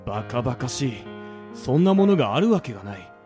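An actor playing a stern, worried butler in a radio drama, speaking in stiff, archaic, very polite phrases with music behind him. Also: male voice, medium pitch, sing-song, quick pace, mellow, natural voice. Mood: grave. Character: formal, stern, worried elderly male butler. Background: background music.

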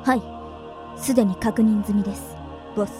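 A woman's voice: mid-range voice, steady tone, moderate pace, natural voice. A woman playing an unflappable female agent who reports to her boss in a cool, clipped way in an audio drama. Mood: cool, composed. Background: music.

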